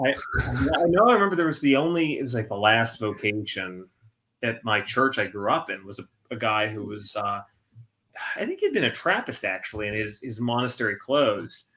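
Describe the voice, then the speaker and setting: A man's voice: mid-range voice, big pitch swings, normal speed, pushed and bright. An American man chatting casually as a stream guest, rambling and redirecting himself mid-thought. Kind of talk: casual chat.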